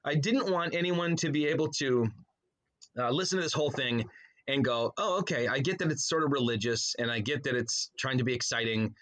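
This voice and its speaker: A man's voice: medium pitch, brisk, a bit bright. Rambling man chatting as a podcast guest. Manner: rambling, self-interrupting storyteller with frequent trailing asides. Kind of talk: casual interview chat.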